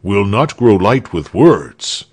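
A stoic hero of few words, as a man reading aloud puts him on, delivers solemn proverbs in a firm, raised voice. The delivery is slow and weighty, with a husky edge. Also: fairly low pitch, sing-song, full character voice. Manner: solemn, aphoristic.

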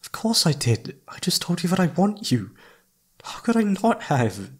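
A man playing a shy, flustered young incubus with a husky, slightly creaky voice, stammering and hesitant in a romantic ASMR audio roleplay. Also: high voice, big pitch swings, normal speed, heavily acted. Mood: bashful, yearning. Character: shy, flustered young male incubus roommate confessing his crush.

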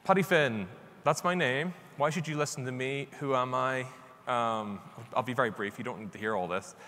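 A man's voice: mid-range voice, normal speed, bright, forceful tone. A middle-aged man with a Northern Irish accent gives an informal conference talk in a conversational, self-deprecating way. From Northern Ireland. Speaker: conference speaker, author. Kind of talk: informal live presentation. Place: large conference stage indoors. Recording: reverberant hall with background noise.

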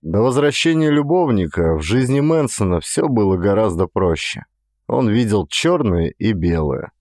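A man's voice: on the low side, animated, moderate pace, a little mellow, no echo, clean studio sound. A man reading a detective thriller as scripted audiobook narration.

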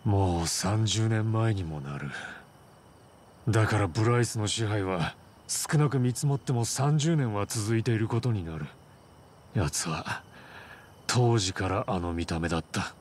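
An actor playing a proud, wounded Chinese mafia boss in a game cutscene, a middle-aged man speaking in a formal, solemn, measured way. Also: on the low side, slight background noise, natural voice. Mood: somber recounting. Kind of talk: Japanese video game cutscene acting.

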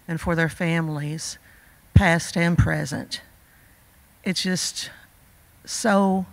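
A woman in her sixties, a longtime teacher, giving a heartfelt speech at a podium in a school auditorium, earnest and full of pauses and fillers. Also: fairly low pitch, no room sound, poor audio. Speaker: teacher presenting awards. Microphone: podium microphone.

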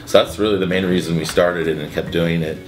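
A man in his forties, seated indoors by a window, answering interview questions in a halting way, full of restarts and uhs. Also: deep voice, fairly even intonation, echoey room, poor audio. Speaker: event co-founder. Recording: music bed underneath.

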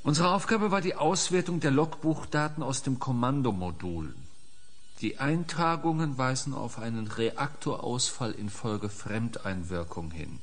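An actor in a German audio drama playing a sober scientist who reports in a formal, matter-of-fact way. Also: male voice, mid-range voice, expressive, measured pace. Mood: sober, official.

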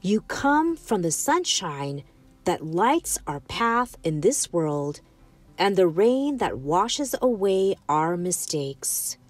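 Woman, storytime host, scripted read-aloud, expressive, melodic range, slightly distant mic, background music. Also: on the low side, takes it slowly, no room sound.

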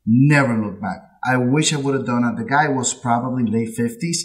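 Guest on a video-call interview: a talkative man in his late thirties from Puerto Rico, mid-pitched voice, quick laughs, headset mic. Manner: chatty, animated with hand gestures, laughs often. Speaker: insurance agency owner, guest. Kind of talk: casual interview storytelling. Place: home office. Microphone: headset with boom mic on video call.

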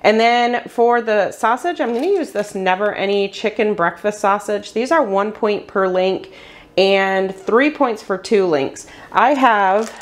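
A woman's voice: medium pitch, measured pace. A middle-aged woman, chatting step by step through a meal prep tutorial as host of her cooking channel. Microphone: voice-over while hands cook on camera.

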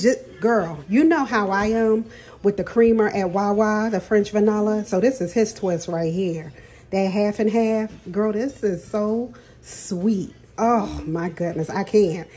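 A woman's voice: mid-range voice, background noise. A middle-aged woman, chatting casually to her viewers in her kitchen while she films her groceries, calling them "girl" and laughing often. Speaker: vlogger. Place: home kitchen. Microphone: phone camera filming hands over a counter.

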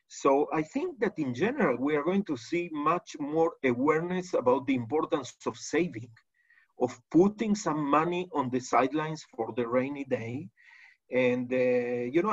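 Argentine accent older guy on a video call from his home office, slightly muffled audio, lots of uhs and repeats himself to make a point. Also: a little high. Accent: Argentine Spanish. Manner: thoughtful, repeats key phrases, frequent "uh" fillers. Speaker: economist guest. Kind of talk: unscripted expert answers on a video call. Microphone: video call webcam.

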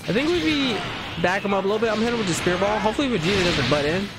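Excitable high-voiced man gaming on a headset. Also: racing. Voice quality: fairly high-pitched voice. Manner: excitable, loose slangy reactions. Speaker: gaming streamer. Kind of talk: casual gameplay commentary. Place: home gaming setup, indoors. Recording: game music playing behind. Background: game music and sound effects.

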